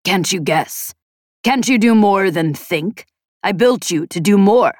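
Audiobook role: insistent male inventor, late fifties, stiff odd accent, halting delivery female narrator performing. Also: medium pitch, lively intonation, dry recording, studio quality, lightly acted. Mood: urgent, pleading. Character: emotional man in his late fifties, searching inventor.

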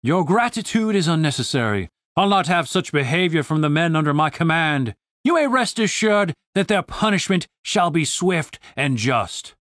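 A narrator voicing a stern, righteous male commander with a booming, formal and pompous manner in an audiobook. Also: big pitch swings, moderate pace, few pauses, fairly bright tone, no echo, studio quality.

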